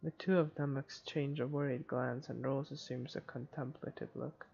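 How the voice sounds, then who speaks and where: A man's voice: medium pitch, fairly even intonation, normal speed, gravelly, darkish tone, husky, muffled recording. A man reading a visual novel aloud as dramatic narration for a playthrough.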